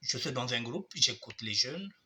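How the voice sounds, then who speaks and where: A man's voice: medium pitch, lively intonation, normal speed, sharp tone. An older man hosting his show, speaking to his audience in a formal, repetitive way while he has a cold. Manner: addresses audience formally, repeats himself. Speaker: online show host. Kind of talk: monologue commentary.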